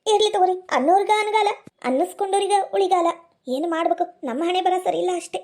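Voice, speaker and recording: Woman playing hurt young wife, extremely high-pitched, plaintive, bitterly resigned, North Karnataka accent. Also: quick pace, darkish tone. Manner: plaintive, aggrieved. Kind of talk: amateur family drama skit.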